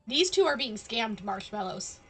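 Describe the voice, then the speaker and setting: A woman's voice: fairly high pitch, fairly bright tone, husky. A woman live-streaming a video game, giving casual commentary with playful, teasing reactions.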